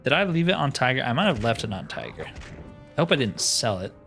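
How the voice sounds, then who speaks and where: A man's voice: mid-range voice, very animated, brisk, gravelly, a bit bright. A man giving casual let's play commentary, musing and asking himself questions as he goes. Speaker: host of a gaming roleplay series. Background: music behind the voice.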